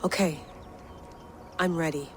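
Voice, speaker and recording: A woman's voice: deep voice, fairly even intonation, slow pace, music in the background. An actress playing a determined, blunt young woman working as a field researcher in a video game, speaking tersely. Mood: resolved. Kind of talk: naturalistic video game acting.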